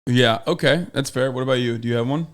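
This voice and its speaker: A young man from Turkey, co-hosting a casual podcast chat and asking animated questions. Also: mid-range voice, fast talker. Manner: animated, asks questions, jokey outbursts. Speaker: podcast co-host.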